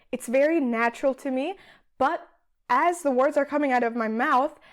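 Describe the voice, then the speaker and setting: A woman from Austria talks as a podcast guest in a relaxed, chatty way, thinking aloud as she goes. Her voice sits somewhat high for a woman. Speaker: guest, PhD student. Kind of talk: casual interview chat.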